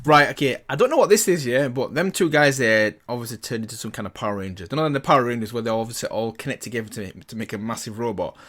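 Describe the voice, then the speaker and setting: A man's voice: medium pitch, quick pace, hardly any pauses, fairly bright tone, slight background noise. A man giving casual, enthusiastic reaction commentary as a host, often repeating himself for emphasis. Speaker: host of a reaction and review channel.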